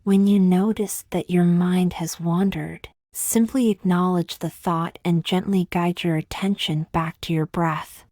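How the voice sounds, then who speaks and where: A woman with a slightly low voice guides a beginner meditation. She speaks softly and breathily, at times close to a whisper, in a gentle, soothing manner with long pauses. The sound is dry, with no room echo. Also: steady tone. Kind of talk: scripted guided meditation narration.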